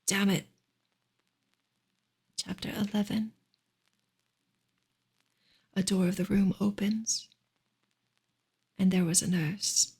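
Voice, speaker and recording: A woman reading a classic novel aloud as soft ASMR, with a hushed, gentle, measured delivery and a breathy, husky voice. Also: medium pitch, steady tone. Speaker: ASMR reader and livestream host.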